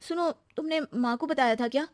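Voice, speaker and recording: A woman performs a chatty young daughter-in-law in a story. The voice is high and close, eager and quick to take offence. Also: no room sound, close to an everyday voice. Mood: aggrieved. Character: excitable, chatty young married daughter-in-law, easily aggrieved.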